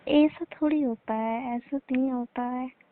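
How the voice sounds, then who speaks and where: A woman's voice: high-pitched, steady tone, a little slow. A woman with an eastern Indian Bihar-Jharkhand Hindi accent, talking casually on a private call in brief, reluctant replies. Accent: eastern Indian Hindi, Bihar-Jharkhand region. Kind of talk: casual private phone conversation. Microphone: phone line. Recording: noisy, narrowband phone call recording.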